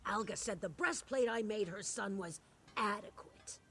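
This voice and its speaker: A woman's voice: mid-range voice, normal speed, fairly bright tone, slight background noise, close to an everyday voice. A woman voicing a tough, boastful orc blacksmith in a video game, blunt and scornful. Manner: blunt, swaggering. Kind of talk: video game character dialogue.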